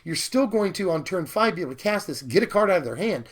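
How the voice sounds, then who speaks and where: A man hosting a card-game spoiler video, talking casually with excitable, gushing enthusiasm while he is hoarse and losing his voice. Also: high-pitched, quick pace. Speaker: channel host reviewing card spoilers.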